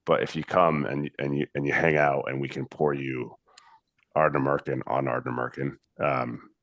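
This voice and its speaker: American man, extremely low voice, dry close sound, loose halting delivery full of ums. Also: no echo. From United States. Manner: relaxed, halting, many fillers and restarts. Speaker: distillery representative. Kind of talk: casual talk on a video call tasting.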